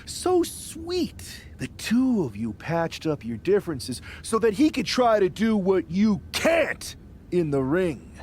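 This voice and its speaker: An actor playing a villainous wrestler and rebel faction leader, mocking, power-hungry and theatrical, in a video game cutscene. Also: male voice, high voice, huge pitch swings, darkish tone, a little hiss or hum, heavily acted. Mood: sarcastic. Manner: theatrical, taunting, deliberate.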